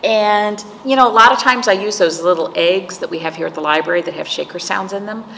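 A woman's voice: medium pitch, a little fast, a bit bright. A middle-aged woman hosting storytime as a children's librarian, reading aloud and chatting to young listeners in simple phrases with questions. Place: indoor library room, seated before patterned wall. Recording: echoey room with clear background noise.

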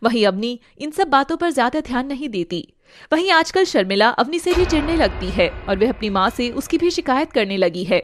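Lady telling a family story, pretty high voice, brisk. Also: sing-song, a little hiss or hum. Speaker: narrator. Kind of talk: storytelling narration.

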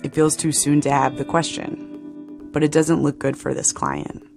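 A woman reporter narrating a radio documentary story in a measured, plain-spoken way. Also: low voice, level tone, vocal fry, music in the background.

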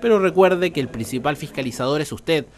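Chilean guy reading a news report voiceover, voice a bit high. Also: lively intonation, a little fast, a little mellow, slight background noise. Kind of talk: scripted news narration.

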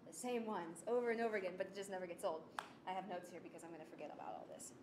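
Young woman presenting in an echoey, noisy room. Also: very fast. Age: young adult. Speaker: student presenter, audio drama writer. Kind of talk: informal presentation. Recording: echoey room with clear rumbling noise.

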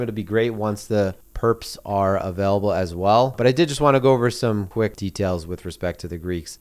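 A man's voice: on the low side, clean tone. A male crypto channel host, losing his voice after an illness, casually explaining a trading screen in a tutorial walkthrough, often adding "right?" and "obviously".

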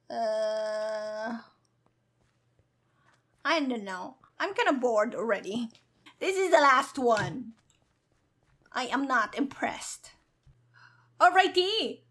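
A woman's voice: on the high side, sharp tone. A woman streamer giving casual, playful gameplay commentary in a cheeky, hyper, giggly manner. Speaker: gaming streamer, virtual avatar host.

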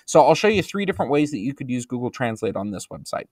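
A man's voice: medium pitch, fast, no room sound. Dry-sounding man walking through a tutorial. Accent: American. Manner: relaxed, explanatory, frequent ums and uhs. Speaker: instructional technology trainer. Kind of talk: screencast tutorial narration.

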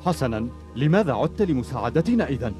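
A businesslike hero from an action cartoon , acted by a man, in a medium-pitched voice. He rattles his lines off very fast and directly, with loud music underneath. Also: big pitch swings, lightly acted. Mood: questioning, direct. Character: brisk, practical heroic male fighter. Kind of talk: dubbed cartoon acting.

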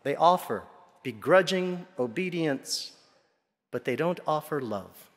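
A middle-aged man delivers a homily from the pulpit of a large church. His voice sits a little high for a man, and he speaks deliberately, pausing between phrases. The sound carries a long hall reverb. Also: animated. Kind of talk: Sunday homily. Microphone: pulpit gooseneck and lapel microphone.

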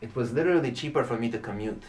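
A man's voice: mid-range voice, very fast. A young man from Romania, a native Romanian speaker, chatting casually and talking at length in an interview. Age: young adult. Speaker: podcast guest, classical guitarist. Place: small indoor room with curtain and shelves. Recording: distant microphone in a room.

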